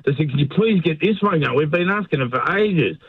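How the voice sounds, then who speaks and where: A man's voice: on the high side, lively intonation, brisk, slightly rough, no room sound. A man calling in to a radio show, telling a matter-of-fact story about his plumbing work. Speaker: caller, plumber. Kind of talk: phone-in anecdote. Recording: tinny phone line.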